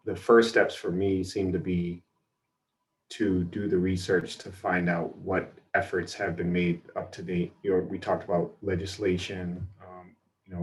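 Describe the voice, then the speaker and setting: Low monotone guy on a video call asking questions, hesitant, mic kinda far away. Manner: hesitant, halting. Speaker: library board member. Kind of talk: meeting discussion. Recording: distant mic.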